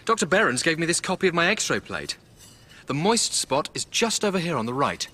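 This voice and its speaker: An actor playing an earnest, polite and inquisitive young gentleman in a radio drama. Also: a little high, very animated, brisk, exaggerated voice. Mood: guarded, flustered.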